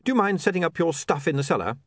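Narrator as an exasperated young editor in an audiobook, animated, dry read. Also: male voice, a little high, husky, no room sound, pitched above the performer's own voice. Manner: exasperated, hurried. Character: flustered young male newspaper editor.

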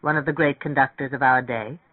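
A man reading a story, playing a cultured, opinionated middle-aged music connoisseur with a measured, knowing manner. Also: medium pitch, fairly even intonation, no room sound, narrow, tinny audio, natural voice. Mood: knowing, amused.

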